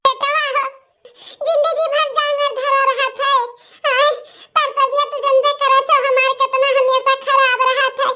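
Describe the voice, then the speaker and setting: A very high, piercingly bright cartoon voice an old village mother-in-law. She speaks with an Awadhi accent, wheedling and complaining as she schemes. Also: little pitch movement, a little slow, exaggerated voice. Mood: wailing, complaining. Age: older. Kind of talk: Awadhi comedy cartoon dialogue.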